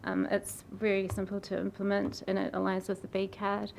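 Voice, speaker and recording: NZ woman, croaky and gravelly, flat earnest read of a council submission. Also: mid-range voice, moderate pace, a little hiss or hum. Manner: earnest, apologetic, laughs nervously at slips. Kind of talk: reading a prepared submission. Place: council chamber.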